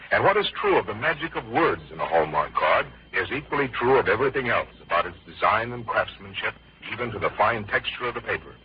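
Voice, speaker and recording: A muffled vintage radio recording carries a low male announcer's voice with very animated intonation. He reads polished sponsor copy in formal, earnest 1940s style. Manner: formal, earnest, measured phrasing.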